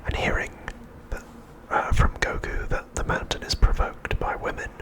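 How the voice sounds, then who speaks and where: A middle-aged man narrating an ASMR recap in an unvoiced, breathy whisper, gently and unhurriedly with deliberate pauses. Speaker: ASMR host.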